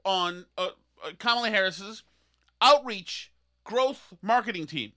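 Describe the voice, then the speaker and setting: A man hosting a podcast, ranting in an animated, sarcastic, mocking way. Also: sits high, a little slow. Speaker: podcast host. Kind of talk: opinionated podcast rant.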